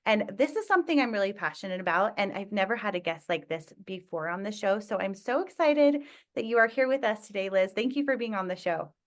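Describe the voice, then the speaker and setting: A woman's voice: mid-range voice, expressive, brisk. A woman hosting a podcast, introducing the show and her guest with enthusiasm. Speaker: podcast host. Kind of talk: scripted podcast intro and interview.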